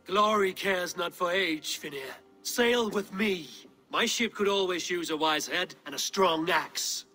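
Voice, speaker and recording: A man playing a steadfast, blunt Viking warrior in a video game, speaking in solemn, measured, formal phrases. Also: high-pitched, bright, forceful tone, soft background music. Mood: somber, calm. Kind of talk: dramatic video game acting.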